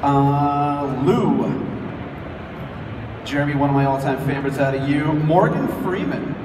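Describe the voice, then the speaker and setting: A man's voice: on the high side, unhurried, distant mic. A man hosting a live panel, announcing casually to performers and audience. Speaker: host assigning voices to actors. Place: fan convention hall. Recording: echoey hall, loud crowd and noise.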